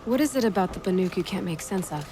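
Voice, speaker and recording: A woman's voice: mid-range voice, animated, fast talker, background noise, lightly acted. An actress playing a young woman hunter who asks direct, probing questions. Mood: curious. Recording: game cutscene with music behind.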